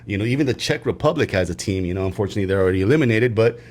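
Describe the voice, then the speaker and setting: Rambling low-voiced man debating sports. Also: very fast. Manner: rambling, lots of fillers and restarts; animated, emphatic when excited. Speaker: podcast co-host.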